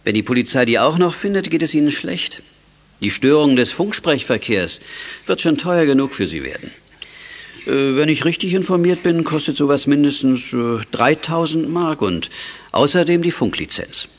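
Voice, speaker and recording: An actor playing a calm, wry middle-aged father who takes command as a CB radio veteran in a children's audio drama. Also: on the high side, normal speed. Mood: dry, stern. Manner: commanding, dryly humorous. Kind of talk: 1980s German children's audio drama acting.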